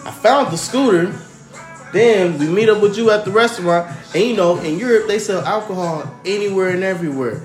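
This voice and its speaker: A young American man with a fairly high voice tells stories on a podcast in slangy, animated talk. The room has a slight echo, with faint music underneath. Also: brisk. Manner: slangy, animated, profane storyteller. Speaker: podcast co-host. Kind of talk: casual storytelling chat.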